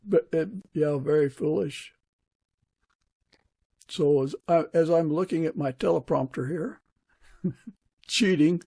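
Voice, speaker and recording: A man's voice: a little high, slow, vocal fry, long pauses, mellow, slightly rough, dry recording. A man hosting a podcast, interviewing in a casual, conversational way. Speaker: podcast host.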